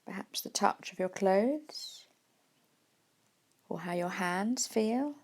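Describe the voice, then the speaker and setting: A woman leading a guided mindfulness meditation in a gentle, unhurried manner with soft pauses. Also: mid-range voice, expressive. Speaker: meditation teacher.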